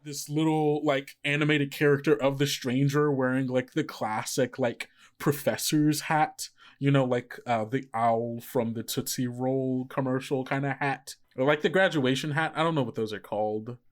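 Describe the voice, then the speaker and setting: Male game master, mid-pitched, meandering descriptions. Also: steady tone, moderate pace. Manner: rambling, full of "like" and "uh". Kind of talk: describing scenes in a tabletop game.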